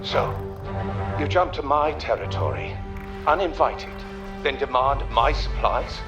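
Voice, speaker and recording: Haughty, territorial Imperial colonel. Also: male voice, mid-range voice, animated, a little slow, lo-fi sound, over music. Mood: imperious contempt. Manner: imperious, dismissive, sneering. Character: haughty, territorial male Imperial colonel guarding his depot. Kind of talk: scripted video game villain acting.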